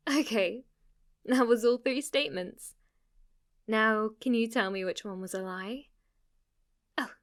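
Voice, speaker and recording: A woman's voice: medium pitch, natural voice. A woman playing a smug, clingy, lovestruck witch in an ASMR roleplay, teasing and coy as she speaks to the listener. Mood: playful, eager. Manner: teasing, coy, overconfident, with giggles and asides.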